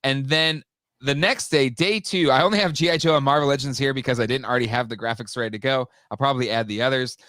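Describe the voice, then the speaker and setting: A man's voice: medium pitch, fast, a bit bright, no echo. Upbeat male co-host, a bit stuffy-nosed, casual rambling delivery in a dry, close room. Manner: chatty, upbeat, many fillers and restarts. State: slightly nasal from a cold. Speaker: co-host of a live toy show. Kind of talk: casual live-stream chat.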